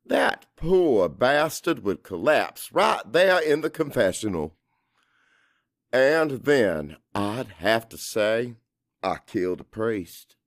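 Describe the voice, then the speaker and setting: A man's voice: medium pitch, a bit bright, no echo, studio quality. A man narrating first-person dramatic fiction in a brooding, confessional manner. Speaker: audiobook narrator.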